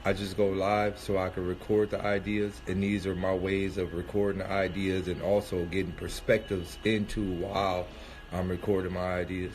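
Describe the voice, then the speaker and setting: A man's voice: low voice, little pitch movement, moderate pace, darkish tone, a little hiss or hum. A man in his thirties with a cold, sniffling a little as he thinks aloud in a casual livestream monologue, loose and rambling with many fillers and restarts. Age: 30s. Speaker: rapper streaming live.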